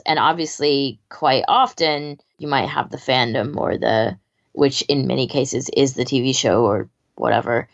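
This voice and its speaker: A woman with a deep voice chats casually as a podcast co-host. Her audio is a bit muffled and lo-fi. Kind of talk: casual discussion. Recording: dull, lo-fi audio.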